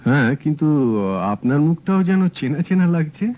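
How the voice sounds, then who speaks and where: An actor playing a sharp young male private detective in a radio drama, asking brisk, probing questions. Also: fairly high pitch, close to an everyday voice. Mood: calm, probing. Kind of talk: 1970s radio drama acting. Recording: dull old radio broadcast.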